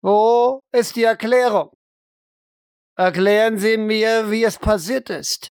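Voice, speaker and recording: Man playing a stern, higher-pitched interrogating doctor. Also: fairly even intonation, slow pace, clear, resonant tone, no echo, clean studio sound. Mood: accusing, demanding. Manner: accusing, demanding, formal address. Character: harsh, impatient male doctor interrogating a prisoner.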